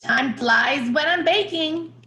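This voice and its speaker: Woman putting on a high, sweet motherly baker. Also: slow, pushed and bright, echoey room, exaggerated voice. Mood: sugary. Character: sweet, pushy motherly woman who bakes. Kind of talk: amateur table read.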